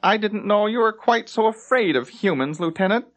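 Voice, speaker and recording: A man's voice: high voice, expressive, normal speed, a bit bright, pitched above the performer's own voice. A man voicing a haughty, self-important elven ship captain with a sneering, condescending delivery in a fantasy audiobook. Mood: sneering, contemptuous. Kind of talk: audiobook character acting in a fantasy story.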